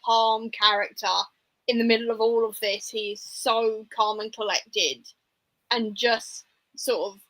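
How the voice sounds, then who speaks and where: A woman with a fairly high, very bright voice talks casually as a discussion host. She is informal and opinionated, softening points as she thinks them through in conversation. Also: steady tone, unhurried, rough recording. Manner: informal and opinionated, hedging with "like" and "sort of". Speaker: book discussion livestream host. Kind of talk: casual book discussion chat.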